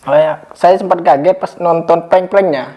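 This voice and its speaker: A man hosting a podcast, soft-spoken, asking short questions and murmuring quiet agreement. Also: on the high side, normal speed, slight background noise. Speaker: podcast host. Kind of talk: interviewer giving short agreements.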